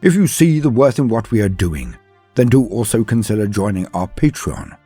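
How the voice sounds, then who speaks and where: A man with a husky voice narrates lore in a theatrical, grandiose style. His pitch sits on the low side and moves expressively through measured, scripted phrases. Music plays faintly behind him. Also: lively intonation, warm tone. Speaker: host of a fictional-lore guide channel. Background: background music.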